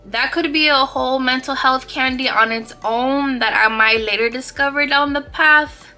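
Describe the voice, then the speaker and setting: A woman's voice: high voice, moderate pace, bright, forceful tone, faint music behind. A woman in her twenties hosting her own podcast, chatting casually and playfully with slang, asides and laughs. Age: 20s. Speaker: podcast host. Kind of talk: casual solo podcast chat.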